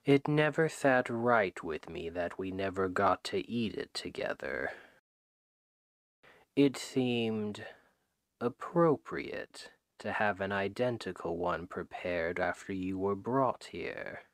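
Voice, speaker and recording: A man playing a suave, menacing, possessive demon king who woos his captive with slow, deliberate, softly condescending speech in an ASMR roleplay, close on the microphone. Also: deep voice, lively intonation, dry recording, exaggerated voice. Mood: smooth, wistful. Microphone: close microphone, dry.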